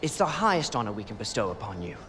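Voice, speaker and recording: Wildly animated British stoner. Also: male voice, lowish voice, fast, over music. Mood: cheerful. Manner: chirpy, blasé, mock-formal at times. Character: cheery, careless stoner amateur chemist, blithely reckless. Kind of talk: video game cutscene acting.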